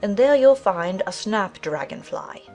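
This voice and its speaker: A woman voicing a large talking gnat in a children's audiobook, brisk, careless and matter-of-fact. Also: medium pitch, lively intonation, mellow, faint music behind. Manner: brisk, offhand, buzzing between remarks. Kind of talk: dramatized children's audiobook acting.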